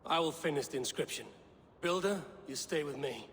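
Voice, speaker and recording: An actor playing a proud, ruthless god in a video game, speaking in a grave, measured, commanding way. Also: male voice, fairly high pitch, lively intonation, a bit bright, slight background noise. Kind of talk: dramatic video game cutscene acting. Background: prominent music.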